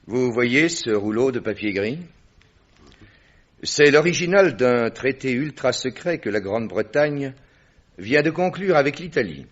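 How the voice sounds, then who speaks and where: An actor playing an authoritative government minister who speaks in a commanding, confidential manner in a vintage radio drama. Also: male voice, mid-range voice, slightly rough. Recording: dull old radio recording.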